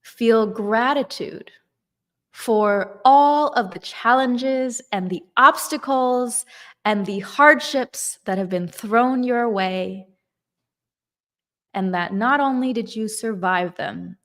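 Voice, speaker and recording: Slow, emphatic woman motivational coach. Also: a little high, a bit bright. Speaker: manifestation coach. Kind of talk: motivational talk.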